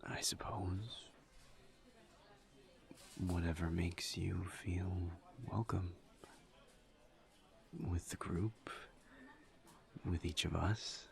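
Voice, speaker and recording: A man playing a gentle, guarded incubus in an intimate audio roleplay, murmuring breathily and haltingly with careful pauses. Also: fairly even intonation, full character voice. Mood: hesitant, thoughtful. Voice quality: breathy, creaky, low murmur. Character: gentle, guarded male incubus demon, earnest and reserved. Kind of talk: intimate roleplay audio drama, partly whispered.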